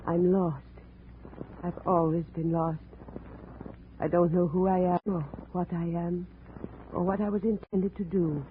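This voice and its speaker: A woman's voice: fairly low pitch, level tone, close to an everyday voice. A woman playing a haunted, lonely wife in a 1950s radio drama, formal and melodramatic in her speech. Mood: mournful. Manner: slow, formal, melodramatic, without contractions. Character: brooding, lonely abandoned wife, haunted and intense. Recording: tinny, noisy old radio recording.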